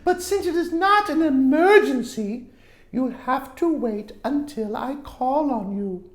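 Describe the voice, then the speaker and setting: A woman's voice: high voice, animated, takes it slowly. A woman playing a stern female schoolteacher in a picture-book story, firmly correcting a pupil. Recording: roomy echo.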